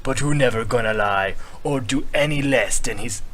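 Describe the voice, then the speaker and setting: A man voicing a proud, battle-hardened troll leader who speaks gravely and emphatically in a troll dialect. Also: mid-range voice, fairly bright tone, natural voice, poor audio. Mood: grave, forceful. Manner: slow, emphatic troll dialect with dropped verbs.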